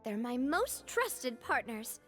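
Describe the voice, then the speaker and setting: An actress playing an elegant, caring young woman leader in an animated game, speaking politely and expressively. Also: on the high side, takes it slowly, faint music behind, heavily acted. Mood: explaining.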